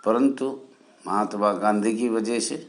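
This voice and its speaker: A man from India hosting a channel, explaining history in a deliberate, halting way with short phrases and formal honorifics. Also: on the low side. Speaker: channel host. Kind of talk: spoken history explainer for viewers.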